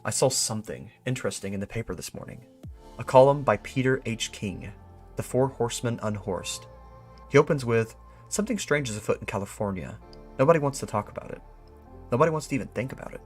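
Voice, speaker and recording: A man voicing a brooding, suspicious employee, reading an eerie memo in a measured, musing and ominous way. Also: mid-range voice, expressive, soft background music, lightly acted. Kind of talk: dramatic reading of a written note.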